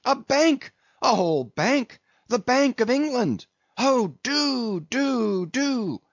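A man voicing an excitable whaleboat mate who frantically urges on his rowers in pleading, repeated exclamations, as an audiobook character. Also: very high voice, takes it slowly, vocal fry, no room sound, dull audio, voice pushed up, exaggerated voice. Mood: frantic, pleading.

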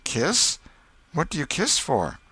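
A man's voice: a little high, wildly swooping pitch, takes it slowly, bright, forceful tone, slightly rough, no echo, pitched above the performer's own voice, exaggerated voice. A man voicing a shy, sweet, naive little schoolgirl in a storybook, with a timid, hesitant manner.

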